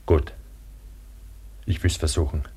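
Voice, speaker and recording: Exhausted avalanche victim, very deep, husky, halting, despairing yet stubborn, radio play. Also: male voice, flat delivery, warm tone, heavily acted. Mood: drained, resigned. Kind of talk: German radio drama acting.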